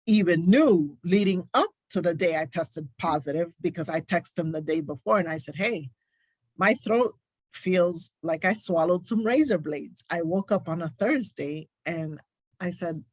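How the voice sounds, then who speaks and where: A woman's voice: lowish voice, no room sound. A woman nurse, a guest on a podcast, telling her story in emphatic, detailed, casual talk.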